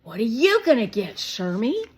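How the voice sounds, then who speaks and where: A woman's voice: a little high, sing-song, moderate pace, darkish tone, breathy edge, voice pushed up, full character voice. A woman voicing a curious, friendly young neighbor girl in a storybook, inquisitive and chirpy.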